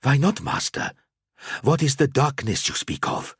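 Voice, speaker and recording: A man's voice: mid-range voice, big pitch swings, a little slow, slightly rough, dry recording, clean studio sound, voice pushed up, exaggerated voice. A man voicing an earnest, cautious male knight who speaks with urgent concern.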